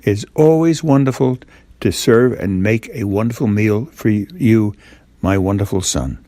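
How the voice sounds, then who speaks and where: A man's voice: lowish voice, lively intonation, moderate pace, warm tone, dry recording, natural voice. A loving, homely storybook mother, affectionate toward her little son as an older man reads her. It is a bedtime tale with a dry, close sound.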